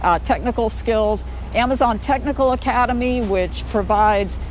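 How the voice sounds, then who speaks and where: A woman's voice: fairly high pitch, normal speed, a little mellow, a little hiss or hum. A woman speaking as a company representative, giving promotional answers in a live interview.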